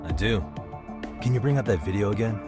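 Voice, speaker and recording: A man's voice: on the low side, lively intonation, a little fast, lightly acted. An actor playing a cool, confident male private detective, casual and plainspoken, in a video game drama. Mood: firm, assured. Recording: music behind the voice.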